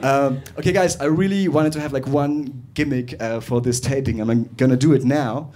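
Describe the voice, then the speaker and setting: A young man from Russia performing a stand-up comedy set in a club, talking animatedly and swinging between quick and drawn-out delivery. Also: medium pitch, a crowd in the background. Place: comedy club with live audience.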